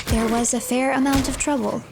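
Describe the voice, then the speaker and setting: Female game streamer for story-style narration: measured delivery, higher-pitched with vocal fry, noise behind the voice. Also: fairly even intonation. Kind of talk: improvised third-person story narration over gameplay.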